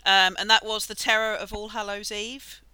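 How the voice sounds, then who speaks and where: A woman's voice: medium pitch, little pitch movement, moderate pace, sharp tone, smooth, no room sound, rough recording. A woman, a comedian guesting on a podcast, speaking in a wry, self-deprecating way. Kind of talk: casual podcast chat.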